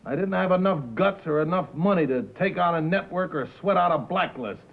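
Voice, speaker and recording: An actor playing a brash, cynical American wheeler-dealer in a 1950s film drama, with sarcastic, slangy, punchy delivery. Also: male voice, high voice, brisk, gravelly. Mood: defiant. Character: brash, cynical, mocking male broadcast-business wheeler-dealer. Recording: muffled old film soundtrack.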